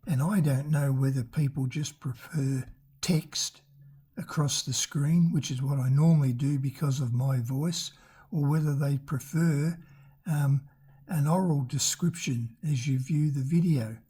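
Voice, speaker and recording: Older raspy-voiced travel narrator. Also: medium pitch, warm tone. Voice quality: raspy from damaged vocal cords. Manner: chatty, unhurried, pauses to search for words. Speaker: travel vlogger. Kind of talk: casual voice-over narration of a road trip.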